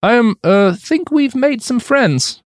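Man acting a British male journalist, nervously cheerful, hesitant, excitable, pitched up high. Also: slow pace, no echo, studio quality, exaggerated voice. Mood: weirdly cheerful.

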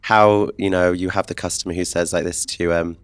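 A man's voice: sits low, little pitch movement, fairly quick, clear, resonant tone, no room sound, clean studio sound. A young man from the south of England, chatting playfully as a podcast guest with lots of laughing asides. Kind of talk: casual chat.

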